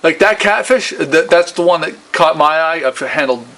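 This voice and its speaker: Guy with creaky voice interviewing casually, kinda stumbly, enthusiastic slangy talk. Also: a little high, animated, moderate pace, pushed and bright. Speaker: interviewer, local video host. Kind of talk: casual chat, informal interview. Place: small gallery shop indoors.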